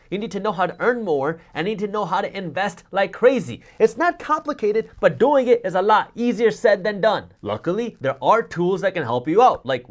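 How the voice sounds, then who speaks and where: A man whose first language is Punjabi, giving emphatic, repetitive motivational advice on money straight to camera. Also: sits high. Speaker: finance YouTuber.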